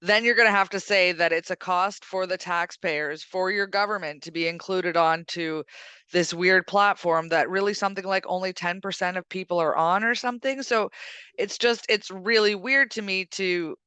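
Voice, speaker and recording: A woman with a bright, crisp voice speaks as a podcast co-host in a casual, opinionated discussion. She is assertive and argumentative, and the sound is close and dry. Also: on the low side, fairly even intonation, normal speed, no room sound.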